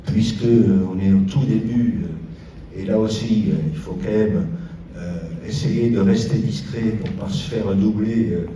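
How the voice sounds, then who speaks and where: A low-pitched male local official addresses a gathering in an informal speech. His delivery is halting, full of hesitant pauses. He is picked up from a distance in a roomy, echoing space with clear background noise. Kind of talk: informal public speech giving a progress report. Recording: roomy echo, distant mic, noisy.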